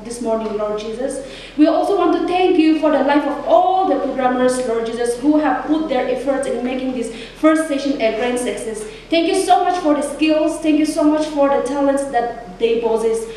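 A young woman leads a prayer in a high, fervent voice. She sounds earnest throughout. The hall echoes heavily, and she comes across a little far from the mic. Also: a little mellow. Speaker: prayer leader. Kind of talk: spoken public prayer. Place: echoey indoor hall, on a stage. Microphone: microphone on a stand. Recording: very echoey hall, distant, faint noise.

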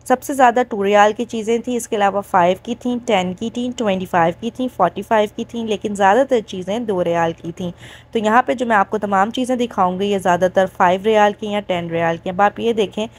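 A woman's voice: medium pitch, fairly quick. A woman giving a casual, chatty vlog voice-over of a shop tour, talking directly to viewers and mixing in English words. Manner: mixes English words into speech. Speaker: shopping vlogger.

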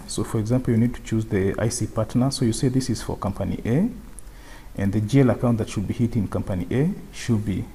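A man presenting an unscripted software tutorial, explaining step by step and often repeating his phrases. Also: on the low side, slightly rough. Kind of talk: unscripted screen-share tutorial.

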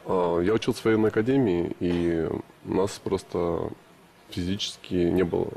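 A man's voice: deep voice, steady tone, normal speed, gravelly, warm tone. A young man answering interview questions in short, hesitant replies with many fillers. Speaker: bachelor guest.

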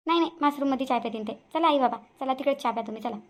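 A woman's voice: high voice, rapid-fire. A woman voicing an earnest, easily hurt young daughter-in-law in a cartoon family drama, with a Varhadi Marathi accent. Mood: caring. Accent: Varhadi (Vidarbha) Marathi.

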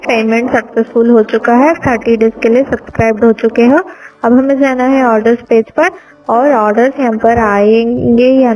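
A woman's voice: fairly high pitch, darkish tone, poor audio. A woman giving a step-by-step voice-over walkthrough of a phone app, speaking to viewers as friends. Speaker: app tutorial presenter. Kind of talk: screen-recorded app walkthrough. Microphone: voice-over over screen recording.

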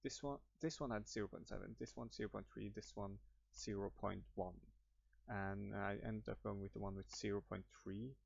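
A man's voice: lowish voice, fairly even intonation, normal speed, creaky, slightly rough, no echo. A young man with a Norwegian accent improvising a rambling screen-recording walkthrough, full of fillers and restarts. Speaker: YouTuber. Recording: muffled dry home mic.

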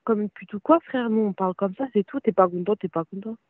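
A woman heard over a video chat, bossy and quick to insult. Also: medium pitch, no echo. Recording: tinny phone-like call audio.

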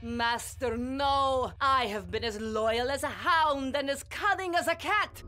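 An actress playing a haughty, scheming villain sorceress in a video game, arch and theatrical. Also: female voice, high voice, a little slow. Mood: pleading. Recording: music behind voice.

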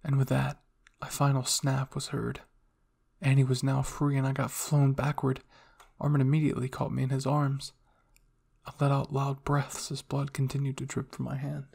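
A man reading fan fiction aloud as dramatic first-person story narration, moving between hushed and intense delivery. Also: medium pitch, monotone, moderate pace, gravelly, breathy edge. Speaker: audio fan fiction reader.

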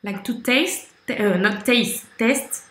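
A young woman with a French accent, speaking slowly and haltingly on a video call, searching for words with many fillers. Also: on the high side, pushed and bright, reverberant. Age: young adult. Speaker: language-learning video host. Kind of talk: halting conversation in a learned language. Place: small room indoors. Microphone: red headphones, webcam video call.

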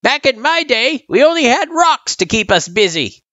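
An old male turtle monster in a fantasy audio story. The voice is pitched high, gravelly and wheezing, with swooping, teasing, folksy cheer. It sounds very close and dry. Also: very animated, moderate pace, bright, forceful tone, dry recording, dull audio, exaggerated voice. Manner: folksy old-timer talk.

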